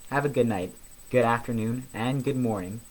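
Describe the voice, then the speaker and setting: A man reads a children's picture book aloud as host of a story session. His delivery is expressive and geared to young listeners, at a middling pitch. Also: normal speed, rough recording.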